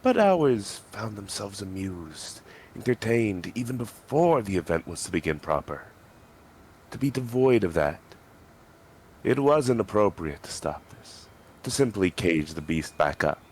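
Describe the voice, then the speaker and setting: Deep-voiced man narrating dramatically. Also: sing-song, unhurried, darkish tone, a little hiss or hum. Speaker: reader in a group dramatic reading. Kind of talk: dramatic scripted story narration.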